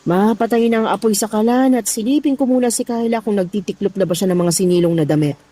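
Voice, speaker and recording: A woman's voice: medium pitch, fast talker, warm tone, lightly acted. A woman playing an exasperated middle-aged Filipino mother in a radio drama, scolding and grumbling about household chores. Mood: busy, muttering. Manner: scolding, sighing, muttering to herself. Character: exasperated middle-aged mother nagging her son's lazy girlfriend.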